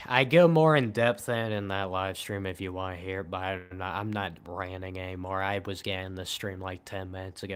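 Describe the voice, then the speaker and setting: A man in his twenties chatting casually on a late-night livestream in a flat, monotone, low-key way. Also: clear, resonant tone. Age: 20s. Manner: monotone, calm and low-key, says he shows little emotion. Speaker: livestream host.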